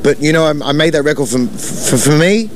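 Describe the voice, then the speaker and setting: A man's voice: a little high, animated, a little hiss or hum, low-quality recording. A man, interviewed as a DJ and producer, speaks in a slightly raised register and stumbles through his answers with restarts and fillers. Faint background ambience sits under his voice. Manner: halting, many restarts and fillers. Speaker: DJ and producer guest. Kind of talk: casual interview chat.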